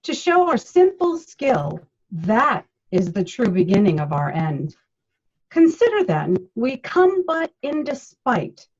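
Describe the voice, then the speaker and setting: A woman playing an earnest, bumbling amateur actor, haltingly reciting a Shakespearean prologue over a dull video call. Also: on the high side, sing-song, darkish tone, breathy edge, heavily acted. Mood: earnest, stilted. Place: remote video call, reading from home.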